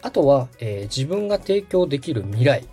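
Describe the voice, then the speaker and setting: Lively, husky man hosting a podcast. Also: mid-range voice, moderate pace. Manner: polite, step-by-step instruction. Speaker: podcast host. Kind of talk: explanatory how-to talk. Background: background music.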